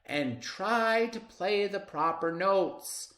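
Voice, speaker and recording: A man voicing a stern music maestro in a children's storybook, with a sharp, scolding manner. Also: sits high, expressive, takes it slowly, voice pushed up, full character voice. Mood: snappish. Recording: roomy, echoing sound.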